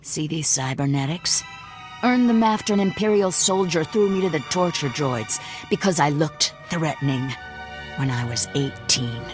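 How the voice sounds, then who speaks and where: An actress playing a suspicious, bitter, confrontational rebel militant in a video game. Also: female voice, sits low, animated, moderate pace, over music. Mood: bitter, hard. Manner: confrontational, scornful. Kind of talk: scripted video game dialogue.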